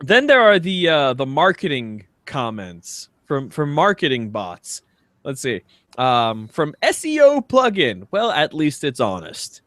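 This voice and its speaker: An amused man with a slightly high, very animated voice, co-hosting a casual comedy podcast. He rambles along easily and slips between loose chat and mock-dramatic reading. The sound is close and dry. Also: measured pace, no room sound. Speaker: podcast co-host.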